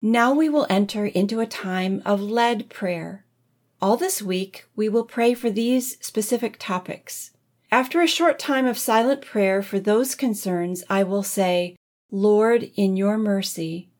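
A woman leading a congregation in prayer with gentle, deliberate phrasing. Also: medium pitch. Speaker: music director leading prayer. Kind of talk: led liturgical prayer.

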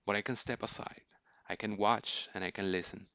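A man gives a scripted film review as host, in a measured, earnest way. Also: lowish voice, steady tone, gravelly, sharp tone, dry recording. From Puerto Rico.

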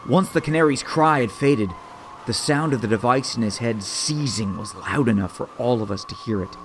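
A man's voice: mid-range voice, a little hiss or hum, close to an everyday voice. A man playing a wisecracking, confident archer vigilante in a superhero audio drama, wry and quippy.

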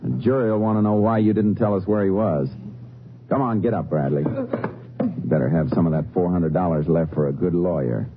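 Stern low-voiced lawman, old radio. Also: moderate pace, soft background music. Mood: stern, dry. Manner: clipped, authoritative. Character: commanding, stern Texas lawman. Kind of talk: 1950s western radio drama acting. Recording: dull, faintly noisy old radio recording.

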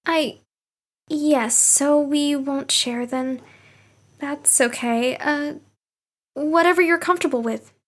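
A woman's voice: high voice, slow, pauses often, a bit bright. A woman playing an earnest, nosy young woman, a little defensive, in an audio drama. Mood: probing, friendly.

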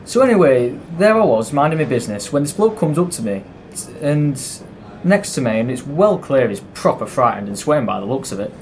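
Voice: mid-range voice, sing-song, a little fast, a little mellow, natural voice. A performer playing a gossipy, grumbling male railway worker in an amateur audio drama. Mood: gossipy, uneasy. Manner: colloquial, conversational. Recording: faint background noise and ambience.

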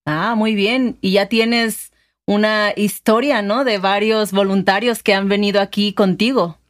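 Una co-presentadora mexicana de podcast, con acento mexicano, que guía la conversación de manera amable y cercana. Habla con tono natural, de charla entre amigos. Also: female voice, medium pitch, steady tone, a little slow. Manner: friendly, guiding the interview with questions. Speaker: podcast co-host. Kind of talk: casual chat.

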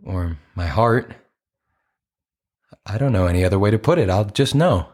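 A man's voice: fairly low pitch, expressive, normal speed, darkish tone. An actor playing an earnest, apologetic romantic man in an audio play, with a hesitant, conversational delivery. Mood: earnest, hopeful.

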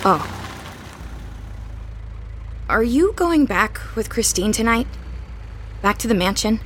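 A woman's voice: on the high side, big pitch swings, slow pace, fairly bright tone, a little hiss or hum, exaggerated voice. An actress playing a caring, friendly young woman with a casual manner, in a naturalistic audio drama. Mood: concerned. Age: young adult. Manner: casual, considerate.

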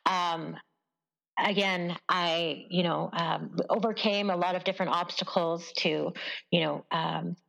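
Forty-ish canadian woman, journalist guest, talks real slow with lots of ums. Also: lowish voice, steady tone, vocal fry, fairly bright tone. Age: 40s. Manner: slow, thoughtful, with many pauses and fillers. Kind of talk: conversational interview.

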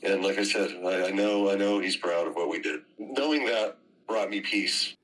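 A man giving spontaneous interview answers, halting and repeating words as he searches for phrasing. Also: low voice, fairly bright tone, rough recording. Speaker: interviewee, theater operator.

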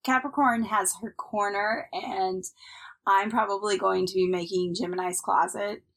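A woman's voice: mid-range voice, steady tone, measured pace, fairly bright tone. A woman co-hosting a casual podcast, chatting in an upbeat, rambling way with plenty of fillers. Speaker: podcast co-host. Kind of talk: casual chat.

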